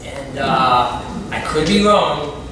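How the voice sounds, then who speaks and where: Guy acting earnest ex-convict in a stage play, halting delivery, far off mic with echo and noise. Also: medium pitch, expressive. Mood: hopeful, excited. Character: earnest, eager younger ex-convict, candy-machine businessman. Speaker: stage actor. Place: indoor stage, recorded from afar. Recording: echoey distant stage recording with noise.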